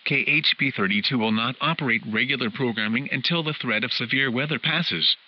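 A man's voice: mid-range voice, brisk. A man's synthetic text-to-speech voice reading a scripted emergency weather bulletin in a formal, clipped way, as a radio alert announcer. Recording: hissy, muffled weather radio broadcast.

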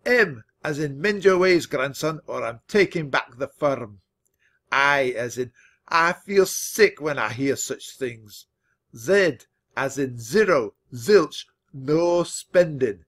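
A man's voice: fairly high pitch, animated. Man playing a bellowing, bossy old grandfather mouse. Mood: hectoring. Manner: shouting, hectoring, emphatic drawn-out words. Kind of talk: children's audiobook character.

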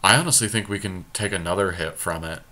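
A man's voice: deep voice, quick pace, pushed and bright. A man co-hosting a casual game commentary, thinking aloud in a conversational way. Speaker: podcast co-host.

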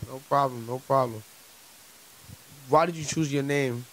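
A young man hosting a casual interview on a handheld microphone, animated and slangy, peppering his talk with bro and feel me. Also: medium pitch, measured pace. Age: young adult. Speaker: interviewer and host. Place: small room, seated on a couch. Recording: clear background noise, clicks.

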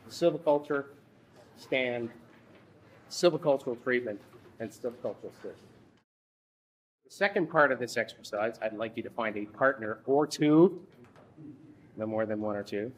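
Man in his thirties, workshop instructor, halting delivery, restarts often, faint room hum. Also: medium pitch, poor audio. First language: English. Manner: halting, with frequent restarts and repeats. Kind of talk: workshop presentation. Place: conference room.

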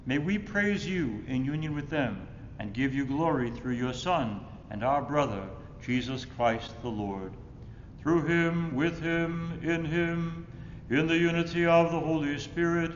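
Higher-voiced older priest in an echoey church. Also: male voice. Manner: solemn, measured phrasing. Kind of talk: liturgical prayer recitation. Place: indoors in a church, at the altar. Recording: echoey church reverb, muffled, rumbling noise.

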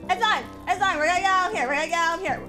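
High-voiced woman streamer with a stuffy cold, casual chat with bursts of yelling, clear game music under her. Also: very fast. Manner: swings from mumbling to loud excited yelling.

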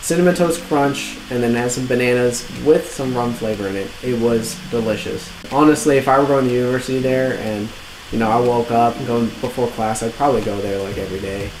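A young man in his twenties casually reviewing restaurants to camera, relaxed and chatty, with lots of fillers and "all right?" check-ins. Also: mid-range voice, brisk, slight background noise. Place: indoors on a couch in a living room. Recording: roomy echo, faint background music.

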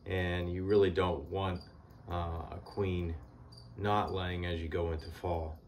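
Deep-voiced man, unhurried beekeeping talk. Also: little pitch movement, slight background noise. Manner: unhurried, many "uh" pauses, plain practical tone. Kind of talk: casual how-to talk. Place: indoors, small shed stacked with hive boxes.

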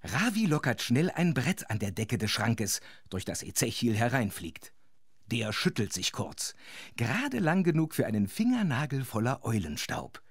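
A man narrating a children's audio story in a dramatic, scripted style with a husky voice. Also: medium pitch, huge pitch swings, normal speed, no echo.

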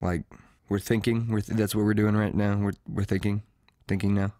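A man's voice: lowish voice, little pitch movement, moderate pace, warm tone, no room sound, natural voice. Cocky, rambling young man, acted, close and dry. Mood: dim, cocky. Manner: casual, profane, rambling. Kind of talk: audio drama acting.

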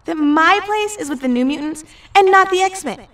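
A woman's voice: very high-pitched, animated, normal speed, fairly bright tone, distant mic. A woman playing a petulant, emotional teenage superhero girl with a sulky, informal manner. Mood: indignant sulk.

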